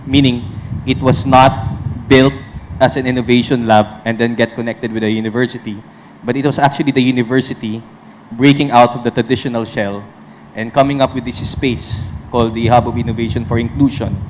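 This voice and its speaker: A man's voice: mid-range voice, fairly even intonation, a little fast, poor audio. A man from the Philippines speaking on a conference panel in a mid-range voice, hesitant and leaning on fillers. A faint hum sits behind him. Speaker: panelist, innovation lab representative.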